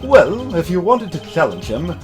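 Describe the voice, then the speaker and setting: A man's voice: high-pitched, big pitch swings, unhurried, a little mellow, soft background music, pitched above the performer's own voice. A man voicing a haughty, blustering older lawyer in a video game. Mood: haughty, stern.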